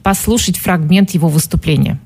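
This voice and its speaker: A woman hosting a radio talk show in a conversational, gently teasing way with probing questions. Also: on the low side, brisk, husky. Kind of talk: conversational on-air presenting.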